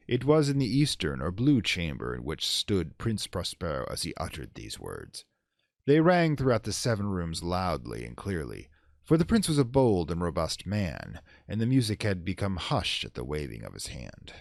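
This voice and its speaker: A deep-voiced man gives a dramatic reading of gothic horror. His delivery is solemn and theatrical, swelling and falling widely in pitch with measured pauses. The sound is close and dry. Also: gravelly, no room sound. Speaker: narrator.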